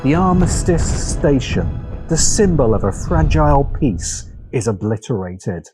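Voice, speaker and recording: A man narrating a scripted video essay with dramatic, deliberate phrasing and weighty pauses. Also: medium pitch, sing-song. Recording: music behind the voice. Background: music.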